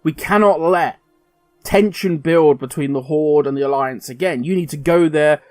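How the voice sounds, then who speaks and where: A man, a gaming commentator, musing aloud in a casual, conversational way, thinking out loud with fillers. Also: on the high side, normal speed, dry recording, studio quality, faint music behind. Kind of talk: casual speculative monologue.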